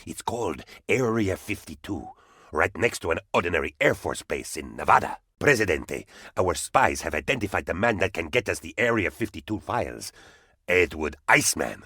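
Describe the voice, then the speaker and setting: Video game advisor: fawning, melodramatic right-hand man of an island leader, lively swooping delivery, dry close sound. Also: sits low, moderate pace, slightly rough, dry recording, exaggerated voice. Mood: conspiratorial, eager. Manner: theatrical, flattering, melodramatic. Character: excitable, fawning male presidential advisor on tropical island. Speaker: video game character voice actor. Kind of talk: animated video game voice lines.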